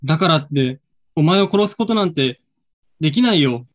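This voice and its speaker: A man's voice: on the high side, expressive, moderate pace, lightly acted. A man playing a hesitant, loyal young friend in a student radio drama. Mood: anguished, hesitant.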